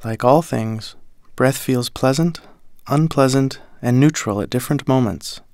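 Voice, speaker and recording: A man with a mid-pitched voice leads a guided meditation. He gives each instruction calmly and deliberately, like a teacher. The sound is dry, with no room echo.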